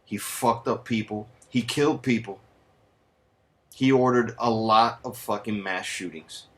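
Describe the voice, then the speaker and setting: A man's voice: lowish voice, a little slow, fairly bright tone. A man from the United States, ranting heatedly and sarcastically on a vlog, swearing constantly and dropping in Spanish slang. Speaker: vlogger. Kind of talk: profane angry rant.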